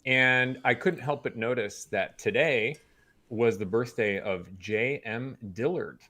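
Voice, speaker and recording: A man speaking as a show guest in casual, informed fan discussion, measured and precise. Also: medium pitch, sharp tone. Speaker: guest on a pop culture show.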